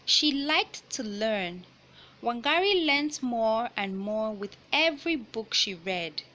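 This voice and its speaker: A woman reading a children's picture book aloud in a deliberate, teacherly way, pausing to explain and repeat key points. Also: high-pitched, sharp tone, slight background noise, low-quality recording. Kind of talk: read-aloud storytelling with explanatory asides.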